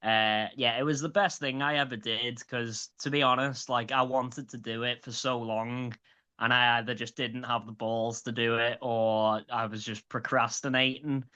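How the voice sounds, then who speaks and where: Late-20s comic with light Scouse accent, sharp bright voice, sweary chatty guest, dull lo-fi audio. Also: male voice, medium pitch, little pitch movement, normal speed, clean tone. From grew up in Liverpool. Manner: chatty, sweary, many restarts. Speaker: stand-up comedian guest. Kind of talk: casual interview chat.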